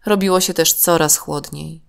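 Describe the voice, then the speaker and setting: A woman narrating a scripted children's story in a measured, expressive manner. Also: sits low, vocal fry, smooth.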